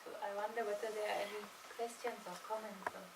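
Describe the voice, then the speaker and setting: A woman's voice: medium pitch, steady tone, fast talker. Woman moderating a panel in a noisy echoing hall. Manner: thoughtful, many filler sounds. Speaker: session moderator. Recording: echoey hall, distant mic, loud noise.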